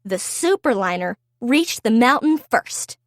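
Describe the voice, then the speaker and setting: Female children's storyteller: high voice, very lively intonation, unhurried, expressive. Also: no echo, clean studio sound. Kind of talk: animated read-aloud storytelling for young children.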